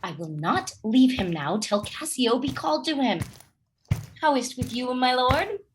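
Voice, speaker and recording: An actress playing a gentle, trusting young noblewoman and wife, speaking earnest, courteous lines in a Shakespearean radio play. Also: high voice, animated, unhurried, a little hiss or hum, low-quality recording. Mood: earnest concern.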